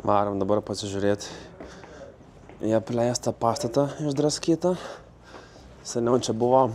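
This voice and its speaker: A man with a Lithuanian accent hosting a travel vlog, excitable and chatty as he narrates and talks to locals. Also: mid-range voice, moderate pace. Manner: excitable, exclaiming, switches between narrating and chatting. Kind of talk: casual travel vlogging.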